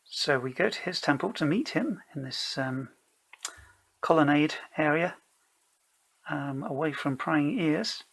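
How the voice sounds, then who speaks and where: A man narrates a solo tabletop game at an unhurried pace, improvising as he goes. His voice sits a little high, with a bright edge. He often hesitates and mulls things over aloud, feeling out each option before settling on it. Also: lively intonation, gravelly, low-quality recording.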